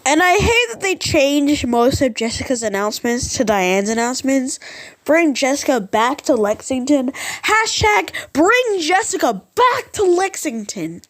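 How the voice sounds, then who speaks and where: A woman's voice: sits high, big pitch swings, unhurried, fairly bright tone. A woman giving casual, rambling vlog commentary in an informal, unsure and self-deprecating way. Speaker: vlogger ranking subway announcements.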